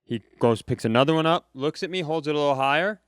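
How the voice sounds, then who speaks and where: An American man co-hosting a comedy podcast, telling anecdotes casually in an animated, exasperated, sweary way. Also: on the high side, quick pace, clean tone, no room sound. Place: live at a comedy club.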